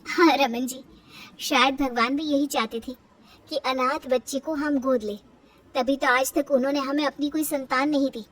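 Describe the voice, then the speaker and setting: A woman's voice: high voice, steady tone, normal speed, a bit bright, distant mic. A woman voicing a kind, protective, principled village mother in a moral-story cartoon. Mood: earnest.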